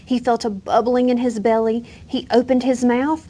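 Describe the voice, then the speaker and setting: A woman reading a children's bedtime story aloud with expressive, gentle storytelling. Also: on the high side, normal speed. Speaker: reader.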